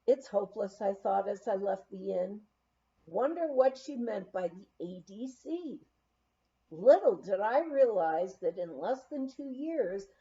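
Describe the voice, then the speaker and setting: Lady reading a memoir out loud, takes her time, soft mellow tone, bit muffled. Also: mid-range voice. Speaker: audiobook reader. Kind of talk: read-aloud memoir narration.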